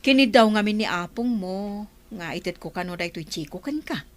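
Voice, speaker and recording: A woman's voice: medium pitch, lively intonation, brisk, close to an everyday voice. A woman playing a friendly older village woman who sells fruit in a radio drama. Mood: affectionate.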